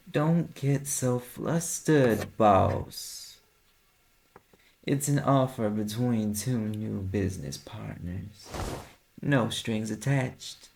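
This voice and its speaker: Man playing a slow, gravelly Southern incubus. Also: medium pitch, big pitch swings, darkish tone, exaggerated voice. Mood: flirtatious, teasing. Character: alluring male incubus, ancient suave flirtatious business dealer. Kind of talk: roleplay audio drama, intimate second-person address.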